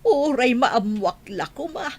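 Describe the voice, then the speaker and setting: A woman's voice: high voice, lively intonation, a little slow, a little mellow, slight background noise. A woman playing a tender, plaintive old grandmother who longs for her son in an audio drama. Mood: sorrowful, insistent. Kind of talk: Ilocano audio drama acting.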